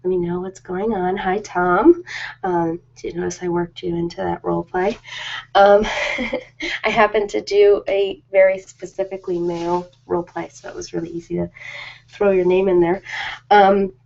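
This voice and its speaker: A woman's voice: fairly low pitch, flat delivery, vocal fry, a little mellow. Rambling woman on muffled audio. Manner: rambling, informal, hesitant pauses. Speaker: ASMR host. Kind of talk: casual live chat to viewers.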